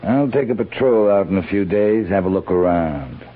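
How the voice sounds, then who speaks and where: Low-voiced, wry cavalry captain. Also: male voice, animated, normal speed, phone-quality sound. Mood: dry, measured. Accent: American. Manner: clipped, dry, commanding. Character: seasoned, wry, stubborn male cavalry captain. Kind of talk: 1950s radio western acting. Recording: thin band-limited old radio recording.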